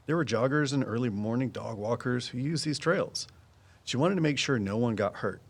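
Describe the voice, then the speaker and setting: Casting: knowledgeable male woodsman, guarded and folksy, mid-pitched, very varied intonation, audio drama. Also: lively intonation, moderate pace, gravelly, breathy edge. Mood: matter-of-fact. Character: Walt, guarded, knowledgeable woodsman and conservation volunteer. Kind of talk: full-cast audio drama dialogue.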